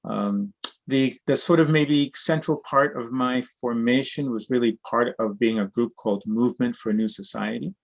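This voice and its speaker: A man's voice: mid-range voice, normal speed, creaky. A man in his sixties, originally from Cuba, speaking as an interview guest in a reflective, earnest way. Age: 60s. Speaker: guest, cooperative organizer and trainer. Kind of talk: conversational interview.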